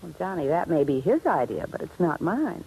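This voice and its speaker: A woman's voice: deep voice, big pitch swings, fairly quick, a little mellow, slight background noise, low-quality recording. A woman playing a cool, hard, money-minded glamour girl in 1950s radio noir, offhand and unsentimental.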